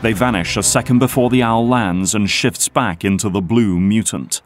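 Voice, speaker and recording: A man with a lowish voice narrates an action audio drama. His scripted storytelling runs over prominent music. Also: moderate pace.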